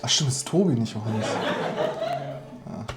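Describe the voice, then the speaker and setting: A man's voice: mid-range voice, expressive, moderate pace, loud music behind the voice. A man competing on a comedy game show, muttering to himself as he works through tasks. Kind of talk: unscripted game show play.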